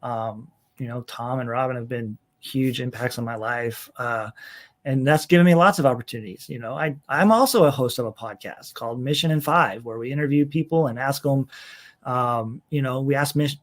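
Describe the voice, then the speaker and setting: A man talks casually as a guest on an interview show. His voice sits at an ordinary to slightly high pitch, and he rambles in an informal, self-deprecating way, explaining things in loose conversational runs. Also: moderate pace. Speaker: podcast guest, church executive minister and author.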